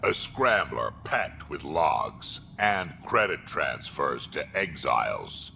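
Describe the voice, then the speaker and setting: An actor playing a gruff, aggressive alien clan warrior in a video game, blunt and menacing. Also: male voice, big pitch swings, slow pace, pushed and bright, a little hiss or hum, exaggerated voice. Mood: blunt, firm. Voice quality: deep, gravelly.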